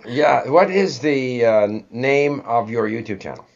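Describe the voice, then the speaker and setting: A man's voice: mid-range voice, expressive, unhurried, creaky. A man with a Canadian accent hosting a conversational interview, thinking aloud in a discursive way. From born in Sweden, raised in Canada. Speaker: host interviewing a guest. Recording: dull, lo-fi audio.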